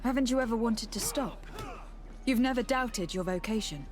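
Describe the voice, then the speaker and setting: An actress playing a composed, diplomatic noblewoman investigator, speaking calmly and with measured words in video game dialogue. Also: a little high, faint music behind, lightly acted. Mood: probing. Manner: calm, measured, probing.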